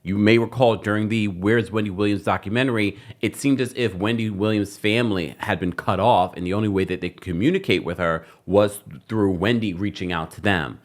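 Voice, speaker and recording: An American man hosting an entertainment news commentary show, speaking with emphatic, deliberate phrasing. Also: fairly low pitch. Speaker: YouTube host, pop culture commentator.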